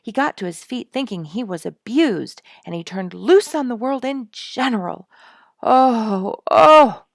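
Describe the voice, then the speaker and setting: A woman's voice: on the high side, lively intonation, a little slow, gravelly, dry recording, clean studio sound, voice pushed up. A woman voicing a rueful, dramatic father in a storybook, weary and emphatic as he recounts his troubles. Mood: exasperated.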